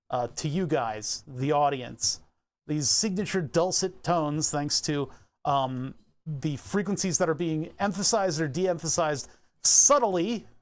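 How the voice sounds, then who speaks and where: Man, somewhat high voice, casual streamer chat, rambling, chuckles often, lapel mic. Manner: easygoing, chuckles often, talks through his screen setup. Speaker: livestreamer.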